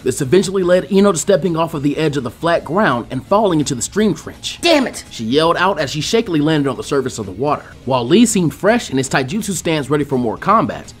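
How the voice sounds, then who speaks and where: A man reading a fan fiction story aloud as an animated narrator. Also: a little high, fast talker, hardly any pauses.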